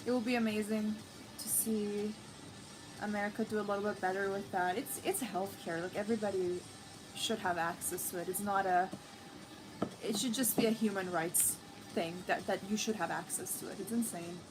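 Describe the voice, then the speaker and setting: A woman's voice: medium pitch, steady tone, normal speed, over music, low-quality recording. A young woman whose first language is Farsi, hosting a livestream and chatting casually with her viewers, with many fillers. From Iran. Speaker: livestream host. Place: living room with a red sofa, indoors.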